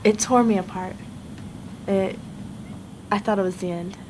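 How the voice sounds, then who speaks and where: Actress as a frank woman in her twenties, flat delivery, noisy sound. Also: mid-range voice, unhurried, close to an everyday voice. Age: 20s. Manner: frank, even-toned. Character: tough 25-year-old woman with a hard past. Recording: noisy indie film audio.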